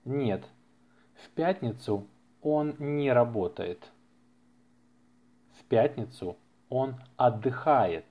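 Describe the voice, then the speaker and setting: A man's voice: mid-range voice, takes it slowly, pauses often. A man hosting a language-lesson podcast, reading practice dialogues with careful enunciation and repeating phrases for learners. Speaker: language teacher and podcast host. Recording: slightly muffled lo-fi podcast recording.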